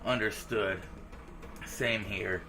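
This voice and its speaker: A man reads a visual novel aloud for a gaming video in a low, gravelly voice. His delivery stays fairly flat and informal, with game music playing behind him. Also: takes it slowly. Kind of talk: reading visual novel dialogue aloud with casual reactions.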